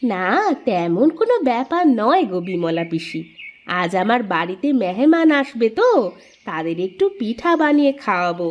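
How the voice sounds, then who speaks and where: A woman voicing a proud, quick-witted village aunt in a cartoon story, with an animated, sing-song manner. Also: medium pitch, lo-fi sound, natural voice. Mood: friendly. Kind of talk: Bengali cartoon story dialogue.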